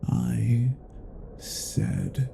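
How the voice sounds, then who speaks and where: A man playing a cruel, haughty vampire in a dramatic roleplay, speaking in a deliberate, menacing and condescending way. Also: medium pitch, wildly swooping pitch, vocal fry, music in the background, heavily acted. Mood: menacing, commanding. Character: cruel, haughty male vampire toying with his captive. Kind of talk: dramatic roleplay audio acting.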